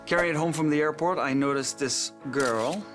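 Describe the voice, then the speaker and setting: A man from Israel, a magician telling his backstory in an earnest, measured voice-over. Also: a little high. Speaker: magician contestant. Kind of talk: scripted intro voice-over. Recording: music behind voice-over.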